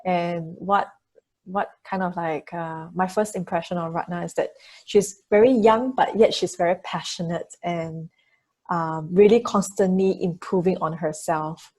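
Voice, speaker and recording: A woman's voice: on the low side, steady tone, unhurried, a little mellow. A woman hosting a podcast, presenting and interviewing in a conversational way. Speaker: podcast host. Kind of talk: scripted intro and conversational interview.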